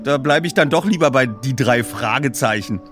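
A man voicing a good-natured, practical teenage boy detective in audiobook dialogue, brisk and matter-of-fact. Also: medium pitch, over music, natural voice. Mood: friendly.

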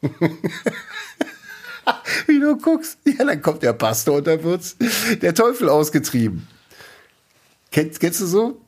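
A man's voice: a little high, sing-song, slow pace, long pauses, darkish tone, slightly rough. A man co-hosting a casual podcast and running a quiz, chatty and rambling, often laughing as he talks. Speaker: podcast co-host and quizmaster.

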